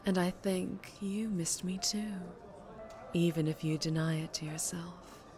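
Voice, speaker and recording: A woman playing a charming, menacing mafia boss in an ASMR roleplay, with a sultry, teasing, unhurried drawl. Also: lowish voice, steady tone, music in the background, close to an everyday voice. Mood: seductive, coaxing.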